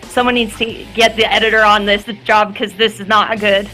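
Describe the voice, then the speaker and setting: A woman's voice: mid-range voice, level tone, moderate pace, pushed and bright, soft background music. A woman improvising casual comedy banter as an audition guest, with a mock self-important manner.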